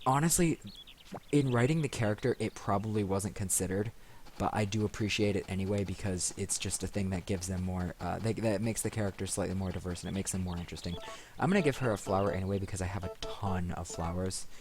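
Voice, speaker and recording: A man's voice: sits low, a little fast, darkish tone, slight background noise. A man hosting a let's play, rambling casually and thinking aloud into a desk microphone. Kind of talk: casual gaming commentary. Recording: music behind the voice. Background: video game music.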